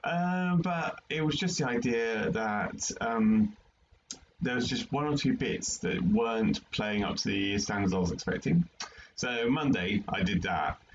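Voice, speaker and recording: A man's voice: mid-range voice, animated, unhurried. A man with a British accent chatting about his hobby in a rambling, hesitant way with many fillers. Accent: British English. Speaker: podcast co-host. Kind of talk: casual hobby chat. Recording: dull lo-fi mic with faint noise.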